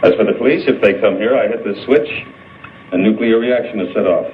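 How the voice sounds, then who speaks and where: An actor playing a cold, confident scientist in a 1960s horror film, speaking in a clinical, deliberate manner. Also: male voice, fairly low pitch, a little hiss or hum, natural voice. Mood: cold, assured. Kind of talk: 1960s B-movie horror acting. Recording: muffled echoey old film soundtrack, music behind.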